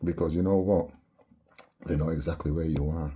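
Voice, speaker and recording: Low husky Barbadian man ranting. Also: steady tone, normal speed, warm tone. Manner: preachy, repeats rhetorical "yeah?" tags. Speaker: vlogger. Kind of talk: impassioned monologue to camera.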